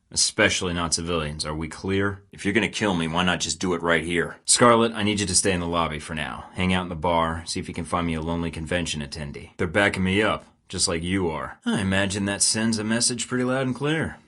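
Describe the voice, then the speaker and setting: A man's voice: low-pitched, fairly bright tone. An actor voicing a wisecracking young male secret agent in a video game, delivering dry, sarcastic quips while staying cool under pressure. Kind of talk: game voice lines.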